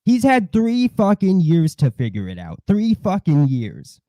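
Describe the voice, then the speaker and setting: A man in casual livestream chat, blunt and disdainful, swearing heavily and haltingly circling back over his points. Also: high-pitched, huge pitch swings, mellow, no room sound. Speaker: co-host of a livestream.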